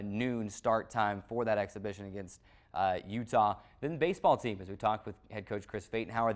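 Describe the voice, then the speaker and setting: An American man hosts a college sports recap show, running through fixtures and features like a play-by-play announcer. His voice sits at an ordinary male pitch.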